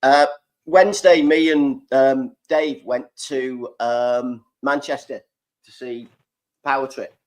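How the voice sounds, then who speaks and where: A man's voice: medium pitch, steady tone, slow, rough recording. A man in his forties from North Wales with a border Welsh accent, hosting a casual video-call chat and telling stories with plenty of swearing. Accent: North Welsh, near the English border. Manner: swearing, storytelling, mimics voices in anecdotes. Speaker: host. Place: home room with a computer screen behind. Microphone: webcam video call.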